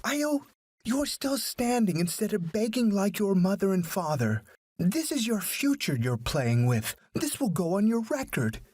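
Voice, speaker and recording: A man's voice: high-pitched, very animated, a little slow, a little mellow, slightly rough, no room sound, pitched above the performer's own voice, full character voice. A man voicing an exasperated mother who scolds with pointed questions in a story. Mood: furious scolding.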